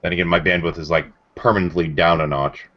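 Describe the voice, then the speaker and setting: Guy with a pretty deep voice hosting a hangout, rambles along, kinda muffled internet audio. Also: fairly even intonation, fast talker. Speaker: host of a group video call. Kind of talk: casual chat. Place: indoors at home on a group video call. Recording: dull lo-fi internet call audio.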